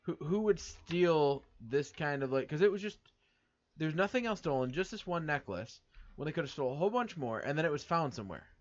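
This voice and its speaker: A man's voice: fairly high pitch, lively intonation, brisk. A man from the United States co-hosting a casual chat show, rambling with many fillers and repeated words. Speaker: podcast co-host. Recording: dull lo-fi sound.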